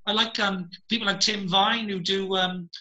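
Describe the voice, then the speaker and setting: A man's voice: sits high, steady tone, moderate pace, bright, forceful tone. A Welsh man hosting a casual chat over a video call, rambling with lots of fillers and restarts. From Wales. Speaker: podcast host.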